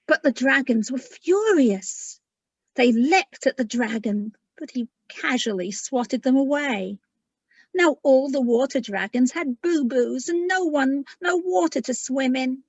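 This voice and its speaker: A woman children's author speaking informally, in the unhurried way of someone reading aloud. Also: high voice, no room sound, lo-fi sound. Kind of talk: read-aloud storytelling and informal talk.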